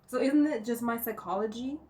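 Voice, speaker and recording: A woman vlogging from home, thinking out loud in a rambling way, full of fillers and cursing. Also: fairly high pitch, brisk. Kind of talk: rambling think-aloud monologue. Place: indoors at home. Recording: distant mic with faint noise.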